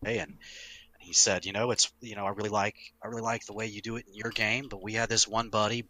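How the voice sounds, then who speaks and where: Bright, forceful low-voiced man, casually emphatic. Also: quick pace, slight background noise, low-quality recording. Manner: emphatic, sweary, animated explainer. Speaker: podcast host, longtime game master. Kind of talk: casual podcast discussion.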